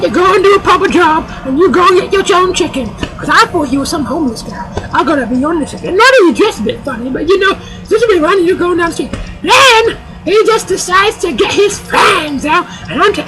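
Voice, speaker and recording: Someone doing a loud, sassy, high-voiced older lady. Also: poor audio. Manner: sassy, rambling, calls people "child". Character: loud brassy older woman guest, sassy. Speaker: comedy talk show guest. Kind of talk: comic sketch.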